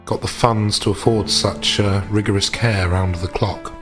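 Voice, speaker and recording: A man playing a reserved, polite gentleman investigator in a tabletop roleplay, speaking formally and hesitantly. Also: fairly low pitch, steady tone, music in the background, close to an everyday voice. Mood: measured, tactful. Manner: hesitant, measured, formal period phrasing.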